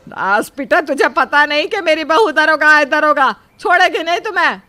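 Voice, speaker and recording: A woman performs a theatrical old mother-in-law from a cartoon moral tale. Her voice is very high and dryly recorded. She scolds and laments in drawn-out, exasperated phrases. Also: lively intonation, pushed and bright, dry recording. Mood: indignant. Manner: wailing, exasperated, drawn-out complaints. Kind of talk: cartoon moral story acting.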